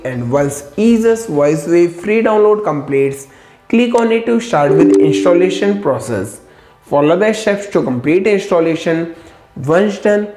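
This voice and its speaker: A woman narrating a scripted software tutorial, giving steady step-by-step instructions with enthusiastic asides. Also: lowish voice, roomy sound.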